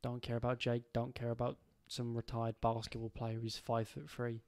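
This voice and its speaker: A man's voice: medium pitch, completely flat delivery, normal speed. Blunt, sweary young man. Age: young adult. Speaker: podcast co-host. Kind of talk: casual banter. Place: small home room. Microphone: studio mic, headphones on.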